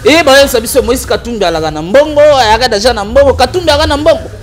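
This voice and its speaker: High-voiced Congolese man, animated debater. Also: fast talker. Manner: animated, argumentative, mixes French and Lingala. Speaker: political commentator. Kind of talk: political talk show chat.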